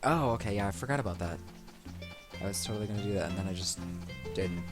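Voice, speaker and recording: Low-voiced man rambling over game music. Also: expressive, fast. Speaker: let's play host. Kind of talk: casual let's play commentary. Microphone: desk microphone picking up the room. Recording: game music behind, desk mic.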